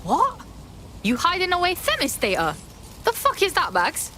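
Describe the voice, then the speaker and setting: A woman's voice: very high-pitched, wildly swooping pitch, normal speed, bright, forceful tone, slight background noise, full character voice. An actress playing a foul-mouthed young female rebel, brash and blunt.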